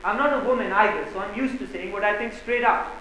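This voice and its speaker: A man acting a hot-tempered, woman-scorning gentleman in a theatrical farce, declaiming with sarcasm and bullying bluster. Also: high voice, quick pace. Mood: mocking. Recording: very echoey room, faint noise.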